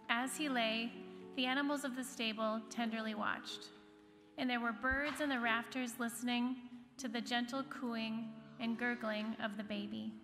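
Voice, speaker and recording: A woman reading a children's storybook aloud as narrator in a large church space. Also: fairly high pitch. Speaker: story reader. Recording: reverberant hall, music behind the voice. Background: soft instrumental music.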